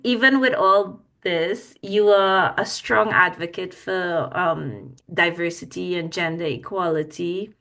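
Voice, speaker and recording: A woman hosting an interview podcast, encouraging her guest in a halting, conversational way. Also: fairly low pitch, fairly bright tone. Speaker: podcast host.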